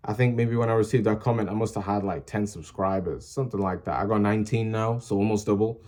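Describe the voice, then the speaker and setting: A man's voice: fairly low pitch, fairly even intonation, quick pace, a little mellow. A young man who makes music videos talks to his viewers in a casual, rambling way, full of fillers and restarts, earnestly urging them to post what they love. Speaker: small music channel creator. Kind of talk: casual motivational vlog talk.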